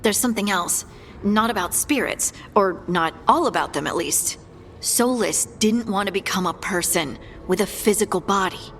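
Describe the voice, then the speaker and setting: A woman's voice: medium pitch, normal speed, fairly bright tone, slight background noise, lightly acted. A woman voicing an aggrieved, resolute dwarf woman in a video game cutscene. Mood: somber, uneasy.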